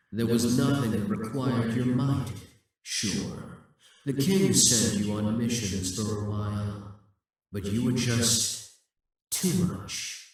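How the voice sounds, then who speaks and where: Man as a slow, echoing, imperious demon king. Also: mid-range voice, a little mellow, lightly acted. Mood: mocking, condescending. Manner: slow, deliberate, condescending, grandiose. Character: possessive, brooding demon king risen from death, seductive and imperious. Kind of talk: scripted audio roleplay monologue. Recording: echoing, reverberant, distant from the mic.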